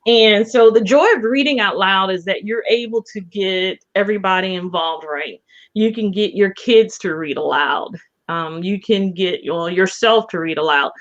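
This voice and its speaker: A woman's voice: medium pitch, normal speed, a bit bright. A woman co-hosting a casual promotional chat in an enthusiastic, encouraging way. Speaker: co-host and event organizer. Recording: slightly dull, lo-fi audio.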